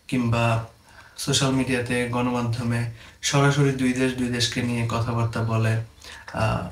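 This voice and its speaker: Even-toned Bangladeshi man in an echoey room. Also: mid-range voice. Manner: explanatory, mixes in English terms. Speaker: presenter explaining diplomatic terms. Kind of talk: informal explainer lesson. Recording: echoey room, faint background noise.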